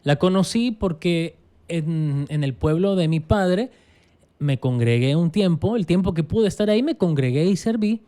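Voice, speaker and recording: Salvadoran man with a dark, smooth voice. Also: on the high side, lively intonation, normal speed. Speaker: pastor, guest. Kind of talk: casual interview, telling his life story.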